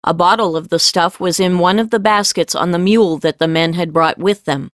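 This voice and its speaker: A woman reading scripted fiction as an audiobook narrator, with measured storytelling delivery. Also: lowish voice, dry recording, clean studio sound.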